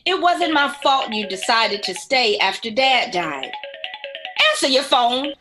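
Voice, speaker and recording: A woman's voice: high voice, very animated, unhurried, music in the background. A woman playing an earnest, outspoken younger sister in an audio drama, confrontational in manner. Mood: concerned.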